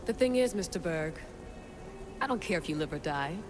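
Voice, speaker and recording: A woman's voice: fairly low pitch, animated, moderate pace, music in the background, natural voice. A woman playing a defiant, headstrong young female assassin with emotional intensity in a video game cutscene.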